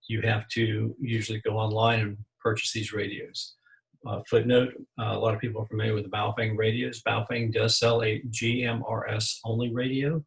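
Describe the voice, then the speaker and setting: American man explaining methodically, slightly gravelly. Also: on the low side, a bit bright, low-quality recording. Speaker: amateur radio presenter. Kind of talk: slide presentation lesson.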